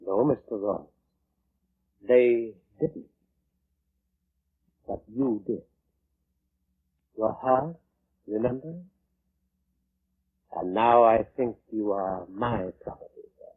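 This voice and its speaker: An actor playing a sinister, ominously polite coroner in formal, measured 1940s radio drama style. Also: male voice, on the low side, breathy edge, heavily acted. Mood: quietly menacing. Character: sinister courteous coroner who claims the dead. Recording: tinny muffled vintage radio recording.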